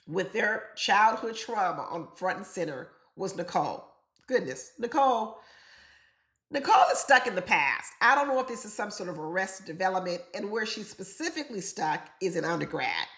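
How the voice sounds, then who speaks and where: Woman, congested, gravelly fry, echoey room, opinionated commentary chat. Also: mid-range voice, animated, moderate pace, fairly bright tone. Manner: chatty and opinionated, talks straight to viewers. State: congested from being sick. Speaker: reality TV commentator.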